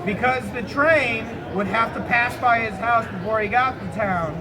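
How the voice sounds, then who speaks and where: Male live comedy storyteller, strikingly high voice, amused, given to asides, recorded amid a very loud crowd. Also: normal speed. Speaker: storyteller hosting an audience word game. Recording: loud crowd noise, roomy live event. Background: loud laughing crowd.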